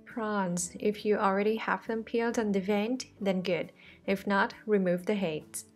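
A woman's voice: medium pitch, steady tone, measured pace, soft background music. A woman, the host of a cooking channel, talking through a recipe step by step in a friendly, reassuring voice-over. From Thailand.

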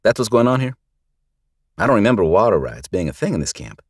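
A man voicing a calm, steely male lawman who speaks dryly and pointedly. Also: lowish voice, brisk, husky, no room sound, clean studio sound, pitched above the performer's own voice.

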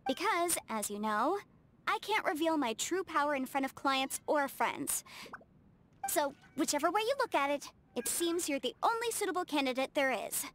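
A woman voicing a bubbly, talkative, proud young female legal adviser in an English video game, with an expressive, eager delivery. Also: high-pitched, moderate pace. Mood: eager, coaxing. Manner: animated, chatty, expressive. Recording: game music under the voice.

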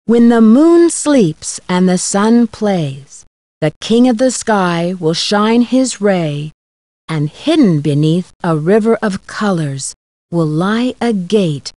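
A woman's voice: medium pitch, animated, takes it slowly, mellow, no echo. An actress playing a curious, upbeat young woman detective in a video game, with an inquisitive, scripted delivery. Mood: slow, solemn reading. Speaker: game protagonist.

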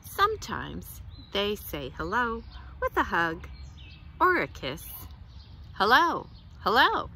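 A middle-aged woman reads a picture book aloud to children outdoors, with expressive, deliberate phrasing. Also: medium pitch, a little hiss or hum. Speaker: children's librarian, storytime reader. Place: outdoors in a leafy garden.

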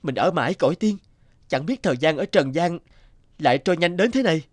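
An actor playing an earnest, polite and hesitant young scholar in an animated folktale, homesick for his village. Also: a little high, fairly quick, dry recording, studio quality. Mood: shocked, panicked.

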